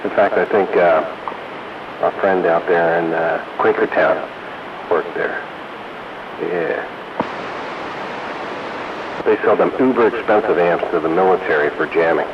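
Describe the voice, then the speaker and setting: A man's voice: sits low, a little slow, pauses often. A man chatting casually with fellow hobbyists over a CB radio. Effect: CB radio transmission. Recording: muffled CB radio with clear hiss.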